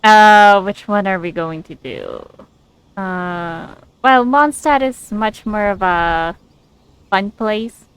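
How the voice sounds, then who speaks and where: A woman casually commentating as she plays a video game, answering the characters aloud in a relaxed manner. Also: medium pitch, takes it slowly, smooth, no echo, rough recording. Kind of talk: casual gameplay reaction chat.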